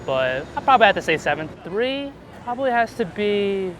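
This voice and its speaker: A man with a slightly high-pitched voice talks off the cuff in a casual outdoor interview. He is animated and informal, easy to amuse and loose with his language. Clear street noise and traffic sit behind him. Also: normal speed. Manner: animated, informal, laughs easily, some swearing. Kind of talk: casual chat while walking outdoors. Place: outdoors on busy city streets.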